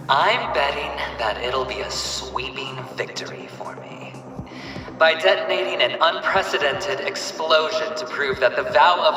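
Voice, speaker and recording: A flamboyant, cunning young gambler from a video game, speaks in a slow, taunting showman's drawl. The pitch leaps and dips dramatically, and the voice rings in a reverberant hall over clear music. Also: recorded from a distance. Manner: theatrical, taunting, drawn-out delivery with dramatic swings. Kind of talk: video game cutscene acting.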